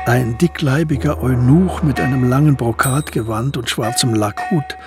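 A man with a mid-pitched voice narrates a radio drama. His delivery is scripted and measured, that of a storyteller, with faint music underneath.

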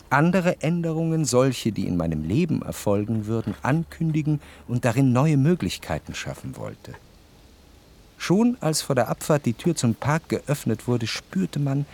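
A man acting as a refined, reflective narrator who recalls society life in measured, lyrical radio-play narration. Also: medium pitch, a little mellow. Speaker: first-person narrator of a radio drama.

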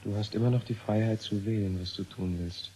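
An actor playing a defiant, idealistic physicist in a 1970s radio drama, intense and argumentative. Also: male voice, fairly low pitch, steady tone, a little fast, warm tone, slightly rough. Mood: subdued, persuasive. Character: defiant male physicist, urgent, pleading, idealistic. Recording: noisy old radio drama recording.

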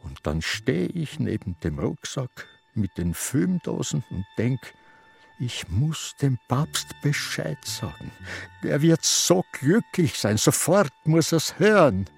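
An actor playing a confused, reminiscing elderly man with an Austrian accent, in a halting radio drama monologue. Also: mid-range voice, sing-song, gravelly, breathy edge, faint music behind, full character voice. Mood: agitated, hesitant. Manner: halting, ruminative.

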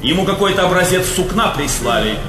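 An actor playing a courteous young aristocratic officer with a formal manner in a Russian stage comedy. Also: male voice, high-pitched, animated, a little fast, bright, forceful tone. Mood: anxious reporting. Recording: very echoey hall, music behind.

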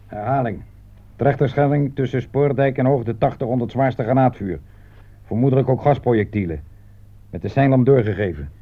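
An actor playing a gruff but steady, paternal army sergeant in a radio play, brisk and down-to-earth. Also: male voice, mid-range voice, natural voice. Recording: thin phone-like old radio recording.

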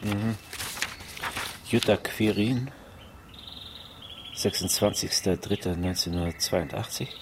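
A man's voice: deep voice, background noise. An actor playing a wry male homicide detective in a naturalistic radio crime drama, speaking in a dry, casual way. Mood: businesslike.

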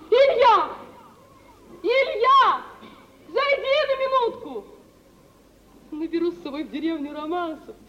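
An actress playing an unhappy, dreamy young bride in a theatrical, yearning, drawn-out manner of old Russian radio drama. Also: female voice, sits high, a bit bright, a little hiss or hum, heavily acted. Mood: restless. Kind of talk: mid-century Russian stage drama acting for radio. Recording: dull 1950s radio recording, faint music.

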